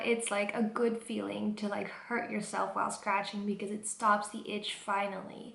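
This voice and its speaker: A young woman talks in an earnest, open way for her personal vlog, her voice sitting a little high. She chats along with plenty of filler words. The sound rings off the walls of an echoey room. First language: Dutch. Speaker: vlogger.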